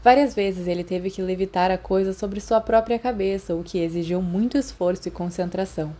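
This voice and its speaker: A woman's voice: mid-range voice, brisk, mellow. A woman with a Brazilian accent, reading scripted fan fiction as an audiobook narrator.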